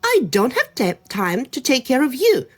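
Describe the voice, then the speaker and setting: A woman's voice: sits high, wildly swooping pitch, normal speed, pitched above the performer's own voice, exaggerated voice. A woman voicing a proud, busy birch tree in a storybook tale, brushing off a small bird. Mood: dismissive. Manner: dismissive and fussy.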